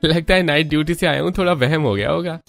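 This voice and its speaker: A man voicing a trusting, puzzled young neighbour in a cartoon, expressive in manner. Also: a little high, fast, creaky, dry recording, soft background music. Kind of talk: cartoon voice acting.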